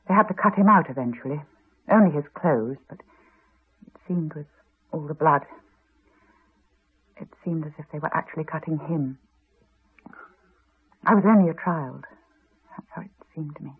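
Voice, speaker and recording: An actress playing a grave, haunted woman in a 1980s radio drama, confiding in a measured, quietly intense way. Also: low-pitched, breathy edge. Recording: muffled phone-like archive radio recording.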